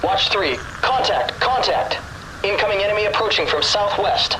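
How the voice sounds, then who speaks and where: An urgent young navy lookout. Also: male voice, sits high, expressive, normal speed, background noise. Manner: urgent, clipped military reporting. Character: young male navy lookout calling contacts. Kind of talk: video game cutscene acting.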